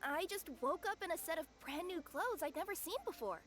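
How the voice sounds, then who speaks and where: A woman voicing an excitable, flustered young cat spirit courier in a video game, stammering and exclaiming. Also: very high voice, fairly quick, a little hiss or hum. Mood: eager, flustered.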